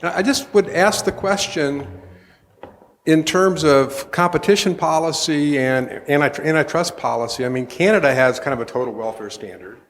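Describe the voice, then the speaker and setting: A male economist speaks up from the audience, his voice sitting a little high and ringing in a reverberant hall. He stumbles through his question with repeated words and restarts. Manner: halting, many restarts and hedges. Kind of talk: question from the floor. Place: conference hall.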